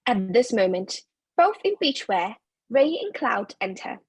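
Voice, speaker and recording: A woman's voice: a little high, sing-song, measured pace, husky, no room sound. A woman narrating scripted stage directions as the narrator of a play reading.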